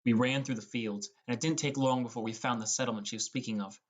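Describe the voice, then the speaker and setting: A young man narrates a fantasy adventure in the first person. His pitch sits in the middle for a male voice. The delivery is that of a scripted audiobook reading. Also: fairly even intonation, fast.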